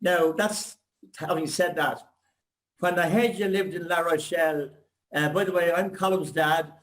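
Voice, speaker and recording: Rambling older man, high-pitched. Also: fairly even intonation, a little slow, fairly bright tone. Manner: rambling, complimentary, reminiscing. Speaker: audience member asking a question. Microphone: video call.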